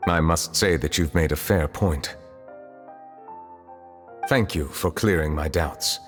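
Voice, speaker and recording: Solemn age-old chief justice, very deep voice, measured, formal, video game, music underneath. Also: male voice. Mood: humble, composed. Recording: long reverb, music behind.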